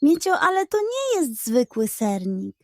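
Woman playing a theatrical high-voiced scheming pug. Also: wildly swooping pitch, unhurried, no echo, studio quality, heavily acted. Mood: eager, persuasive. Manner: pleading, theatrical, grandly self-important. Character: scheming, hungry, dramatic male pug dog.

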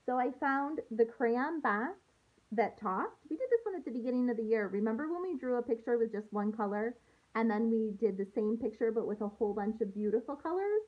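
A woman in her thirties, a teacher reading aloud to young children with an expressive, sing-song delivery, recorded on a handheld-distance device at home. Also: fairly high pitch, fairly quick, muffled recording. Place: small room at home, indoors. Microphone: phone or laptop at arm's length.

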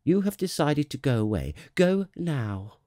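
A man's voice: a little high, very animated, unhurried, warm tone, no echo, studio quality, voice pushed up. A man voicing a proud, vain female flower in a storybook, speaking in brave commands. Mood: proud, hurt. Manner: proud, imperative.

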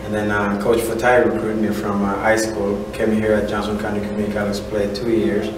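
A man in his thirties from Honduras with a Central American Spanish accent, a native Spanish speaker, giving halting, casual interview answers as a soccer coach, often saying "you know". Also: lowish voice, monotone. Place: indoor room at a college. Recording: echoey room, distant mic, background noise.

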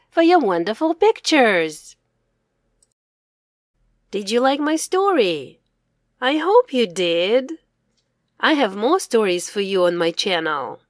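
A woman's voice: a little high, no echo, studio quality. A woman reading scripted children's storybook narration as its author, enunciating carefully for English learners.

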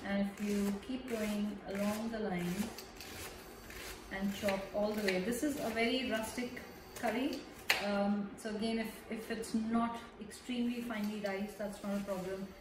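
A woman's voice: mid-range voice, steady tone, normal speed, far from the mic. A woman in her thirties, a chef, patiently talking someone through a cooking demonstration step by step in a large home kitchen. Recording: echoey kitchen with background noise.

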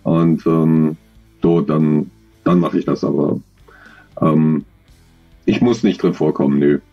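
A man's voice: rumbling low, steady tone, slow, creaky, muffled recording, soft background music. A man in his forties, an audio drama author, answering interview questions on a video call in a thoughtful, rambling, self-deprecating way.

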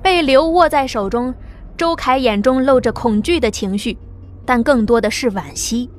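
A woman narrating an audio drama in a steady storytelling manner. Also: on the high side, moderate pace, soft background music.

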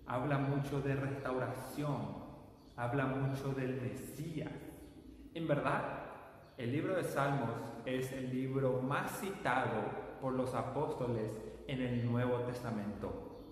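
A young Paraguayan man teaches a Bible class, with a Paraguayan Spanish accent and an unhurried, deliberate delivery. He talks to a camera a few feet away in a small whiteboard room. The bare room rings with a long reverb tail and a faint hiss behind him. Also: medium pitch, fairly even intonation. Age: 20s. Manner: slow, deliberate, gestures while speaking. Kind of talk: warm-toned classroom lecture to camera.

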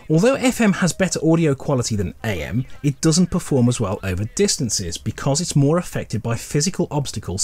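A man's voice: mid-range voice, darkish tone. A middle-aged British man giving a chatty, scripted retrospective narration with wry, colloquial humour. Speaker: presenter.

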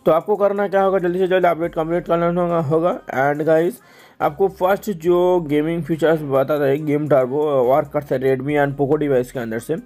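A man with a fairly high-pitched voice walks viewers through a phone tutorial. He speaks casually and directly, step by step, often repeating his instructions. Light music plays behind him. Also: steady tone, moderate pace, darkish tone. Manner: repetitive instructional phrasing, addressing viewers directly. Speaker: tech tutorial host. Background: background music.

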